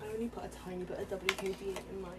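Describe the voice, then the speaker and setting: A young woman, co-hosting a casual podcast chat at home while getting ready, relaxed and giggly, with a lot of "um" and "like". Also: medium pitch, very fast. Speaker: podcast co-host. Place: home room with excited dogs. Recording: home recording with rummaging background noise.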